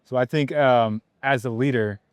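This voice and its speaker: A man with a medium-pitched voice hosts a podcast interview. His talk is casual and conversational, and the sound is dry with very little room on it. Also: normal speed, clear, resonant tone, no echo.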